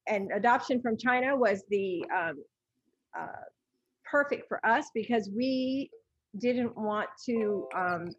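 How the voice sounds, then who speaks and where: Muffled lo-fi sound, husky middle-aged woman, American accent, hesitant, backtracking, personal recollection. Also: on the high side, dry recording. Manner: hesitant, backtracking, many pauses. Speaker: podcast guest, adoptive mother.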